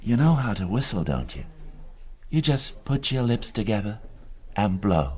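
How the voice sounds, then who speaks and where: An actor playing a gruff, sardonic middle-aged police inspector with a husky voice and dry, pointed questioning, in a naturalistic radio drama. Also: male voice, lowish voice, very animated, a little slow, dark tone, heavily acted. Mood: wry.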